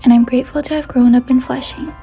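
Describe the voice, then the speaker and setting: A woman in her twenties from New York City, whose first language is Chinese, reading a reflective personal voice-over in a soft, measured way. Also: high-pitched, fairly even intonation, gravelly, lo-fi sound, faint music behind. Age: 20s. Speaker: narrator. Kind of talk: scripted personal narration.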